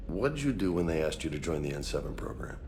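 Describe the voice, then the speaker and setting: A man's voice: a bass voice, very animated, quick pace, a little mellow, a little hiss or hum. A man playing an informal, self-doubting space marine in a video game, talking in a casual, slangy, blunt way. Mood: troubled, candid. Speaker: soldier. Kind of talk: video game dialogue acting.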